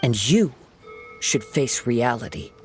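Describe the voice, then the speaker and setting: A man voicing a calm, perceptive young swordsman in a video game, composed and measured as he gently reproves. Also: mid-range voice, soft background music. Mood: earnest, reproving.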